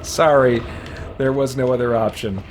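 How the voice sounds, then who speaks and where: A man's voice: mid-range voice, steady tone, normal speed, background noise. A man chatting casually on a live stream while playing a game, with a playful, folksy manner. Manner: playful, mild folksy exclamations. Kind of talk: casual live-stream chat and gameplay commentary.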